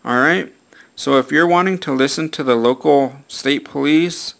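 A man's voice: medium pitch, normal speed, gravelly, a bit bright. A man talking casually through a hands-on product review in a plain-spoken, repetitive way, often punctuating with "all right".

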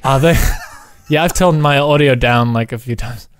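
A man hosts a casual gameplay session with a partner, speaking at a middle pitch. He works out what to do out loud, in short and halting phrases. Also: smooth, dry recording. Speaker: gaming video host, player.

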